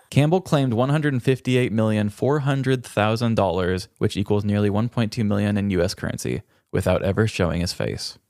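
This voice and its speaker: Low-voiced man chatting on a podcast. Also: huge pitch swings, normal speed, a little mellow, no echo. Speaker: podcast co-host. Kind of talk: casual chat with trivia.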